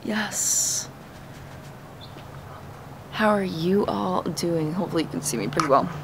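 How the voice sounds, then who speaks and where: Raspy-voiced young woman vlogging casually. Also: on the low side, expressive, a little slow, background noise. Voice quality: raspy morning voice. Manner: chatty, rambling with fillers and restarts. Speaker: fitness vlogger. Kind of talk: casual vlog chat. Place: balcony, then kitchen and backyard. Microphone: handheld camera close up.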